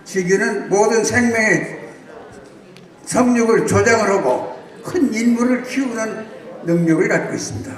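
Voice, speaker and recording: A man's voice: high voice, moderate pace. A man in his nineties from South Chungcheong giving a formal congratulatory speech and lecturing with rhetorical questions. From South Chungcheong, Korea. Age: 90s. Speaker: guest speaker. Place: large banquet hall. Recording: echoey hall, distant mic.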